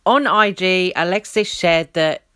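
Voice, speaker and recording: A woman with a clear, resonant voice on the lower side delivers opinionated commentary as a host. She speaks conversationally, with emphatic points aimed straight at the viewer. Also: unhurried, bright, forceful tone.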